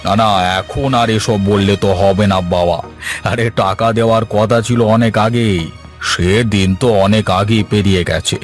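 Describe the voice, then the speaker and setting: A man's voice: fairly low pitch, steady tone, normal speed, faint music behind, lightly acted. A man voicing a wicked, greedy village moneylender with a menacing, mock-kindly manner in a cartoon fairy tale. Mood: smug menace.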